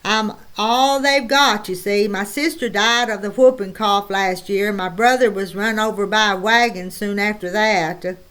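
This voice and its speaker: A woman's voice: medium pitch, fairly even intonation, unhurried, few pauses, fairly bright tone, close to an everyday voice. A woman voicing a friendly, matter-of-fact eight-year-old pioneer boy in an audiobook. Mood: earnest.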